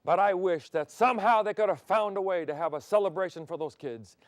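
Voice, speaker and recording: An older man raised in Thailand, a pastor delivering a televised sermon from a studio set on a lapel mic, swinging between loud emphasis and soft, intimate passages. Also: high-pitched, a little fast, no room sound.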